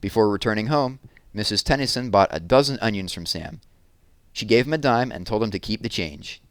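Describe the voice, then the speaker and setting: A man's voice: on the low side, animated, a little fast, a bit bright, clear, resonant tone, no echo. A man reading a novel aloud in a scripted storytelling narration. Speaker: read-aloud reader.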